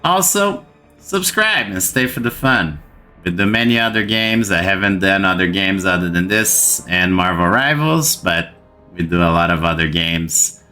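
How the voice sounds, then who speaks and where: A man's voice: deep voice, expressive, unhurried, pushed and bright, faint music behind. A man from Brazil with a Brazilian accent, hosting a gaming channel and ranting to camera in an exasperated way, repeating words for emphasis. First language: Portuguese. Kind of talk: frustrated gaming rant to camera.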